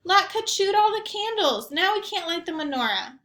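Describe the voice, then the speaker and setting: A woman voicing an excitable, affectionate young girl in a picture-book read-aloud, expressive and exclamatory. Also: very high voice, normal speed, echoey room, voice pushed up. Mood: upset.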